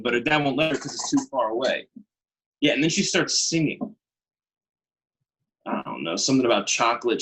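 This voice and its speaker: A man's voice: mid-range voice, fairly bright tone, husky, rough recording. An actor playing a chatty, well-meaning delivery guy, rambling and mildly flustered in a casual video-call play. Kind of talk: casual one-sided call in a Zoom play.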